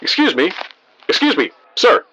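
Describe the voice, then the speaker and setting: A man's voice: high-pitched, sing-song, normal speed, pushed and bright, heavily acted. A man playing an eager, pushy TV news field reporter, delivering a live report in an audio drama. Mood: excited, urgent. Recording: dull sound with clear noise.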